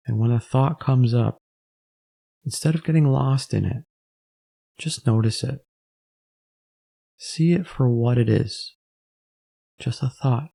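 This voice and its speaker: A man leads a guided meditation at a very slow, measured pace. His voice is low-key and mellow, with a creaky fry running through it. He speaks gently as an instructor, leaving space between phrases.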